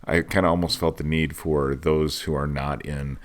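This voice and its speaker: A man co-hosts a casual podcast, laid-back and dry, often answering with short agreeing replies. His voice is extremely deep and low. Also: flat delivery, normal speed, over a noisy crowd. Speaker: podcast co-host. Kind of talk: casual chat.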